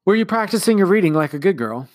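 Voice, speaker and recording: A man's voice: sits high, very animated, fast, darkish tone, no room sound, voice pushed up. A man doing a no-nonsense nanny. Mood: gently correcting. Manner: crisp and businesslike. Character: brisk, no-nonsense woman, a household nanny.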